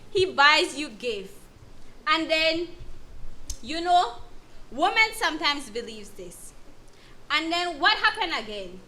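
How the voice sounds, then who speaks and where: A woman playing a firm, supportive counsellor, speaking deliberately and emphatically in an educational dramatization. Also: sits high, very animated, bright, forceful tone, full character voice. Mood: firm, reassuring. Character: female counsellor, firm, supportive, explaining abuse to victim. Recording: clear background noise.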